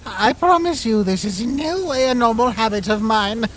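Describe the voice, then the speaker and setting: A man playing an earnest, dramatic, downhearted young skeleton in an animated comic dub, speaking in formal, theatrical phrases. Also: very high-pitched, normal speed, a little hiss or hum. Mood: apologetic.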